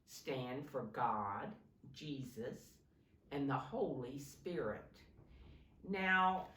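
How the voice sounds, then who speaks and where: A woman with a low voice reads to young children in an instructive, simple storytelling style. Her intonation swings in a lively way as she explains and prompts. The recording is roomy and a bit distant, with faint noise behind her. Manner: simple, instructive, points out pictures and prompts listeners. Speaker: teacher reading a picture book to young children.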